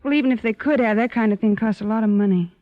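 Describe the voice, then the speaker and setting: An actress playing an anxious sister who pleads hesitantly and earnestly in an old-time radio drama. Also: female voice, fairly high pitch, quick pace, natural voice. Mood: sorrowful. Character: anxious, pleading older sister of wayward boy. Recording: dull, lo-fi old radio recording.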